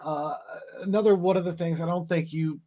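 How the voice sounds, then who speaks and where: A man's voice: a little high, steady tone, brisk, mellow. A man appearing as a guest on a casual podcast, rambling and hesitant as he explains his theories. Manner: rambling and hesitant, many fillers and restarts. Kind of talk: casual discussion of game lore.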